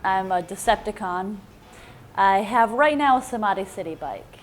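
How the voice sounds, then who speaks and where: Young woman, medium pitch, faint noise. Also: animated, normal speed. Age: young adult. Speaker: moped club member, graduate student. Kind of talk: casual documentary interview.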